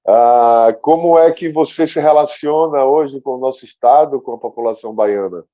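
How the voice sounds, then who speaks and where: Male interview host, Brazilian accent. Dark, relaxed tone, conversational delivery, muffled low-fidelity sound. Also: normal speed. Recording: muffled, dull lo-fi audio.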